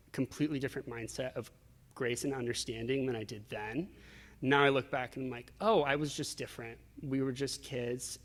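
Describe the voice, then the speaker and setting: A man being interviewed, telling personal stories in a reflective, casual way with acted-out asides. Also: medium pitch. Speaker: interview guest. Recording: reverberant hall-like echo.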